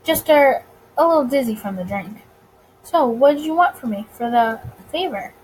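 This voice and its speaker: A flustered but eager 1920s detective, voiced by a woman, talks casually and bluntly in a visual novel. The pitch sits high, with clear background noise. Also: animated, normal speed, a little mellow, poor audio.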